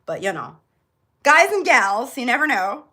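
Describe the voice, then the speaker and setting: A woman's voice: a little high, big pitch swings, measured pace, sharp tone, breathy edge. Chatty woman hosting a casual livestream. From born in Jamaica, grew up in Florida, US. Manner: informal, chatty, addresses live viewers directly. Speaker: beauty livestream host. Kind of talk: casual chatty live hair tutorial.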